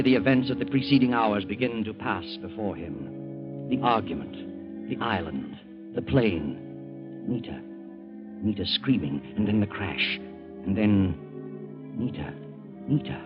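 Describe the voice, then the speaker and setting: An actor as a grave, suspenseful storyteller, narrating an old-time radio drama in a deliberate, portentous voice. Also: male voice, lowish voice, lively intonation. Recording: muffled old radio recording. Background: prominent plucked-string music.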